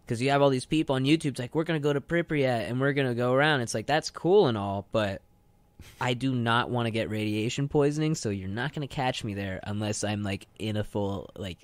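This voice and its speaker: A man's voice: medium pitch, animated, a little fast, no echo. A young man co-hosting a radio show in loose, rambling casual chat peppered with "like". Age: young adult. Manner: rambling, says "like" constantly. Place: radio studio.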